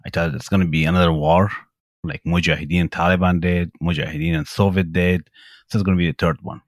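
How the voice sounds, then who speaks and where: Middle-aged Afghan man, deep voice, plain direct storyteller, Dari native. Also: steady tone, fast talker, no echo, clean studio sound. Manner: plain, direct storytelling with uh fillers and simple grammar. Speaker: former interpreter, guest. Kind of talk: recounting personal story.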